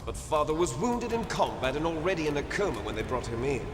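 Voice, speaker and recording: A grandiose villain from a video game, a bitter cloned soldier with a high-set, sweeping delivery. He lectures with menace and resentment in a long, echoing reverb. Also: very animated, fast, music in the background. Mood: grave, revealing. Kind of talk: dramatic video game voice acting. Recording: echoey hall reverb over loud rumbling noise.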